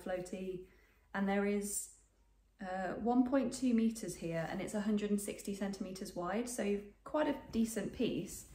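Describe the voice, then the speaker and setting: A woman's voice: mid-range voice, darkish tone, reverberant. A woman in her twenties with a bit of a cold, chatting casually about sewing to camera from her sewing room, rambling with lots of ums. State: snuffly from a cold. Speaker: sewing vlogger. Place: home sewing room, indoors.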